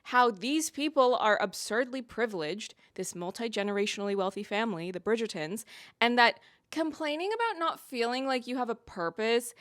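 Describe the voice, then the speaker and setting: A woman's voice: a little high, lively intonation, normal speed, fairly bright tone, dry recording, studio quality. A woman giving opinionated video essay commentary as a reviewer, with emphatic delivery and wry, exasperated asides.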